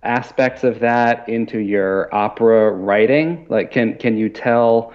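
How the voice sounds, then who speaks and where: A man's voice: medium pitch, unhurried, highs cut off. A man co-hosting a podcast, chatting casually and putting questions to a guest. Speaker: podcast co-host, interviewer. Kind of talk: casual interview chat.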